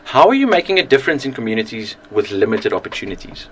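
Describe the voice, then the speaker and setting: A man's voice: medium pitch, sing-song, quick pace, fairly bright tone, slight background noise. A man hosting a radio interview who encourages his guests with frequent affirmations. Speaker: radio host.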